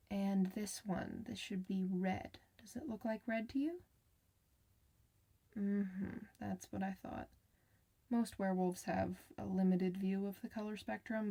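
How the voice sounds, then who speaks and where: A woman playing a gentle, reassuring clinician in an ASMR medical roleplay, soft-spoken and soothing, sometimes slipping toward a whisper. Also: mid-range voice, vocal fry. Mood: calm, attentive.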